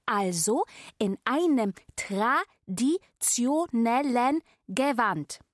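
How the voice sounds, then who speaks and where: A provincial occupational therapist making small talk in a radio drama. Her voice is fairly high and extremely slow, every word set out distinctly as if for a foreigner. The sound is dry and close. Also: expressive, no echo. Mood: chatty, patronising.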